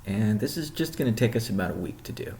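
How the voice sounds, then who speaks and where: A man leading an informal business update call as a chief executive, explaining things in a measured way with pauses mid-sentence. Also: lowish voice, expressive, creaky, a little mellow. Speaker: company CEO hosting a weekly update call. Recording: roomy, echoing sound.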